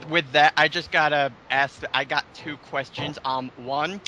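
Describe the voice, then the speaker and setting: A man's voice: a little high, steady tone, a little slow, sharp tone, a little hiss or hum. A man ranting casually in a group commentary, scornful and exclamatory, swearing as he goes. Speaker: co-host of a mocking commentary.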